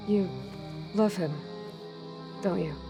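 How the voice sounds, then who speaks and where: A woman's voice: medium pitch, slow pace. An actress playing a blunt, direct young female hunter in a video game cutscene. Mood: blunt, probing. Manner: blunt, probing questions. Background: game music score.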